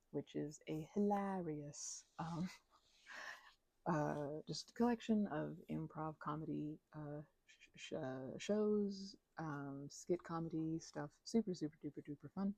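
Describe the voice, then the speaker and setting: A woman's voice: deep voice, gravelly, warm tone, dry recording. A young woman chatting casually in an ASMR ramble, mumbling and hesitating as she drifts along, close to the microphone. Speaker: ASMR channel creator.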